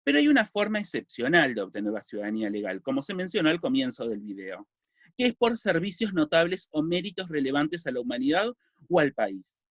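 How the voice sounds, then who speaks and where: A man's voice: on the high side, sing-song, fairly quick, a bit bright, no echo. A Uruguayan man teaching a recorded civics lesson, explaining step by step and asking and answering his own questions. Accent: Uruguayan Spanish. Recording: dull lo-fi dry recording.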